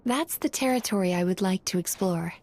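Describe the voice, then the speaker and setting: An actress playing a gentle, playful young dream therapist in a video game, speaking in a soft, breathy, coaxing and reassuring way. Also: female voice, medium pitch, slight background noise, close to an everyday voice. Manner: coaxing, unhurried, calmly reassuring. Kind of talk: soft, soothing guided-hypnosis game dialogue.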